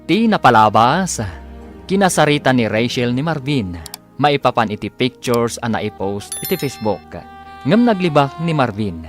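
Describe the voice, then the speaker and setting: A man's voice: medium pitch, big pitch swings, soft background music. Emphatic male radio drama announcer. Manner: emphatic, drawn-out delivery. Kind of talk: scripted dramatic radio narration.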